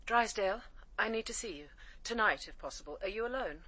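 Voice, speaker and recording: A woman's voice: mid-range voice, animated, quick pace, bright, forceful tone, husky, rough recording. An actress playing an imperious, middle-aged English woman barrister, clipped, cutting and determined, in a radio crime drama. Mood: urgent, tense. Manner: commanding, clipped, insistent. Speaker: actor.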